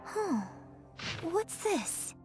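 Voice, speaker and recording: A woman's voice: extremely high pitch, big pitch swings, very slow, over music, full character voice. A woman playing an earnest, reflective young woman in a video game, musing aloud as she works things out. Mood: curious. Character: thoughtful, earnest young opera performer piecing together clues.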